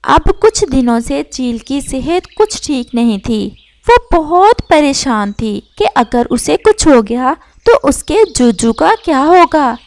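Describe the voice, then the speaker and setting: A woman's voice: sits high, darkish tone. A woman reading scripted narration for a children's story as the narrator.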